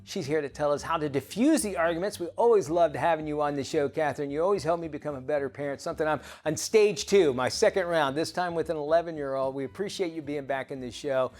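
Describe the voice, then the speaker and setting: A middle-aged man hosting a TV talk show and interviewing a guest in a chatty, animated way that often breaks into laughter. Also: on the high side, fast talker, hardly any pauses.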